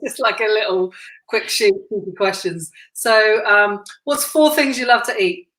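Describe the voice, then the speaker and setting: A woman hosting a podcast, who chats and laughs easily with her guest and says she has a deep voice. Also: unhurried. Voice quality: self-described deep voice. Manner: chatty and warm, laughs often. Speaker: podcast host. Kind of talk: casual interview chat. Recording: roomy echo.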